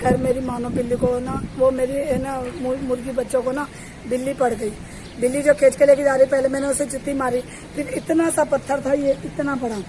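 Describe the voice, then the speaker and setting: A woman chats playfully on a rooftop despite a sore throat. Her voice is high, and loud street and traffic noise runs behind her. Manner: playful, joking, storytelling. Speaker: vlogger's wife. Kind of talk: casual family chat. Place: rooftop outdoors.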